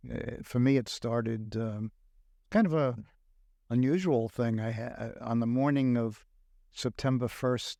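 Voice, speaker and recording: An older man from Brooklyn with a New York accent, talking reflectively as a podcast guest in a studio, pausing often with fillers. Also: mid-range voice, darkish tone, dry recording. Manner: reflective, halting with many fillers. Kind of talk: casual podcast conversation. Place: recording studio. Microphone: close studio mic.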